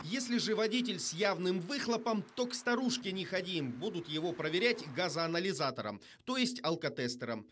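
A man narrates a television news report in a fairly high voice. His delivery is scripted and polished, with a wry, ironic edge. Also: animated, fairly quick, few pauses, noisy background.

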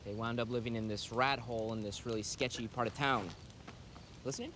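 A man playing a cocky, wisecracking guy who tells friends a crude, slangy tale with dramatic pauses. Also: medium pitch, fairly even intonation, fairly bright tone, slight background noise, lightly acted. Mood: teasing, wry. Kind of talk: casual storytelling in a film-style drama. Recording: music behind the voice.

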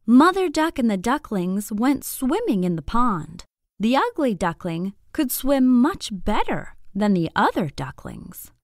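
A woman narrating a scripted bedtime story for young children in an expressive, deliberate, clearly phrased way. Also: fairly high pitch, no room sound, clean studio sound.

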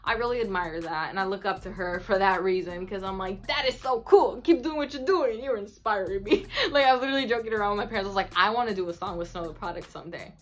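A woman in her twenties, a native Spanish speaker, chatting casually to camera in an expressive, slangy way with Spanish words mixed in. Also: medium pitch, fast talker, few pauses. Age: 20s. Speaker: vlogger. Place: small bedroom indoors. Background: instrumental music.

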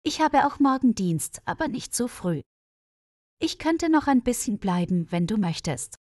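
A woman voicing a reserved, thoughtful male nurse in a storybook, in a measured, sincere way. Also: fairly high pitch, big pitch swings, darkish tone, dry recording, clean studio sound, voice pushed up. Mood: gently inviting. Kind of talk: storybook dialogue reading.

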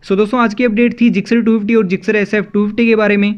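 A man's voice: sits high, level tone, quick pace. An Indian man narrating a scripted video as a motovlog host, addressing viewers as friends in a dull, slightly lo-fi voice-over.

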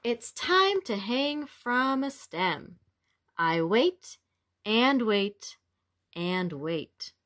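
A woman's voice: on the high side, exaggerated voice. A woman voicing a cheerful young caterpillar that becomes a butterfly in a picture book, speaking expressively for young children. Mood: slow, wondering. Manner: slow, expressive, simple exclamations for young children.

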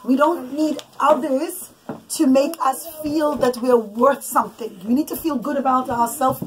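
High-pitched mother telling a bedtime story. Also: normal speed, rough recording. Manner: expressive, emphatic, didactic. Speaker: mother telling bedtime stories to her children. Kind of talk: animated storytelling with asides to children. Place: small children's bedroom, indoors. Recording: clicky home recording with background noise.

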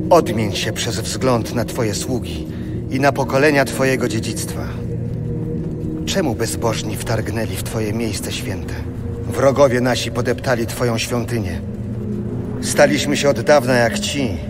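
An actor playing a solemn prophet pleading with God in a dramatized audio Bible. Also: male voice, medium pitch, close to an everyday voice. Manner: solemn, beseeching delivery. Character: pleading prophet lamenting his people's sins to God. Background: music.

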